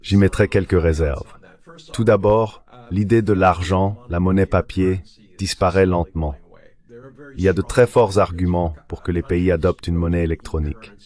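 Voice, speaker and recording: A man's voice: low voice, steady tone, moderate pace, a little mellow, natural voice. A man delivering an explanatory voice-over translation for an interview guest. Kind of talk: dubbed interview commentary, explanatory.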